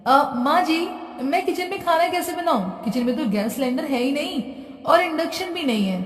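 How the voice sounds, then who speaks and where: A woman's voice: high-pitched, expressive, normal speed, pitched above the performer's own voice. A woman voicing a spoiled, whiny young bride in a cartoon story, speaking in a petulant, exasperated way. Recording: roomy echo, distant from mic.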